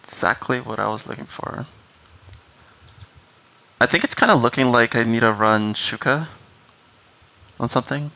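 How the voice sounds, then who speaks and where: A man's voice: mid-range voice, moderate pace, vocal fry, long pauses, pushed and bright, dull audio. A man from Brazil whose first language is Portuguese, chatting casually on a live stream, explaining strategy and answering chat.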